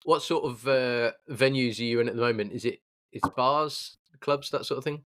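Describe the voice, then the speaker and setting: A man with a medium-pitched voice interviews someone in a casual, conversational way. He phrases his questions tentatively, often pausing to find the right word. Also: fairly bright tone. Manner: tentative, searching for words. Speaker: podcast host, interviewer.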